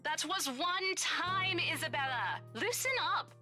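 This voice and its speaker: A woman's voice: high-pitched, lively intonation, unhurried, sharp tone, heavily acted. An actress playing a sassy, impatient young female realtor in a visual novel game, teasing and brash. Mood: dismissive. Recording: music behind the voice.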